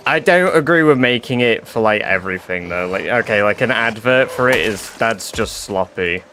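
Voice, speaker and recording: A man's voice: fairly low pitch, lively intonation, moderate pace, bright, forceful tone, slight background noise. A man with an English accent chatting loosely with viewers on a live stream, full of fillers and casual swearing. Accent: English, mixed with northern English touches. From England.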